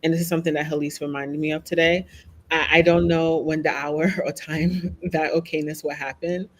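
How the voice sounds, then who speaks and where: A woman's voice: low voice, flat delivery, fairly quick, a little hiss or hum. A woman speaking as a podcast guest, talking openly and reflectively, often checking in with "right?". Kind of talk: candid conversational interview.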